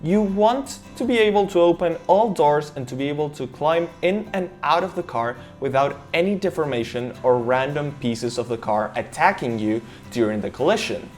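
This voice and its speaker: A man's voice: a little high, lively intonation, moderate pace, few pauses, soft background music. A man from Argentina, speaking English as a second language, narrating a car safety explainer with enthusiastic emphasis. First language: Spanish. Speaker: car reviewer.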